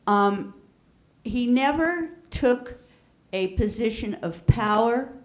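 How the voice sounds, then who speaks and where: Earnest, halting woman panelist. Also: on the high side, expressive. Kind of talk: personal recollection on a panel.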